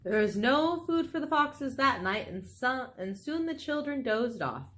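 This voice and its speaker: Expressive, unhurried woman reading a story. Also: high-pitched. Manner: animated, dramatic, with exclaimed asides to listeners. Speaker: storybook reader. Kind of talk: expressive children's storybook narration.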